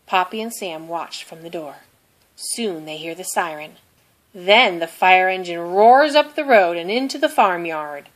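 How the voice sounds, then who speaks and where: Slow, expressive woman reading a children's story. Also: on the low side. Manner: expressive, deliberate. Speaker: storybook reader. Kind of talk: children's read-aloud narration.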